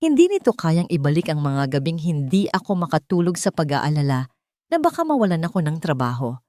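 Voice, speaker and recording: A woman's voice: sits low, very animated, brisk, mellow, no room sound. Lady reader doing a hurt proud young filipina standing her ground, indignant, dry sound, love story drama. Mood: firm, pleading. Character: proud, wounded young working woman, indignant and firm. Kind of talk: dramatic audio story dialogue.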